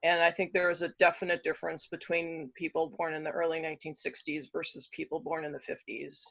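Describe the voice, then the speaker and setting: A man in his late fifties speaks in a high, creaky voice. The sound is dull and lo-fi, and he gives his views in a conversational panel style. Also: level tone, brisk, fairly bright tone. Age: late 50s. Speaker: panel guest. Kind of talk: conversational opinion.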